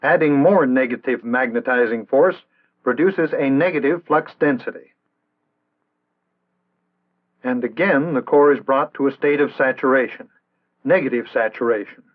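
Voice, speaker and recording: An American man reading scripted instructional narration in a measured, formal, didactic way, in the style of an early 1960s training film. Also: medium pitch. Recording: tinny band-limited vintage 1960s film soundtrack.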